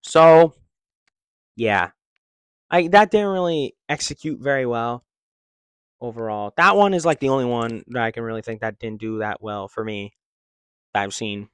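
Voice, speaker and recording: A young man in a living room, rambling excitedly in slangy casual talk into a handheld mic and restarting his sentences. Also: mid-range voice, moderate pace, pauses often, clean tone, no room sound. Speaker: vlogger. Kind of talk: casual opinion vlog. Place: living room, seated in armchair. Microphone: handheld mic held close.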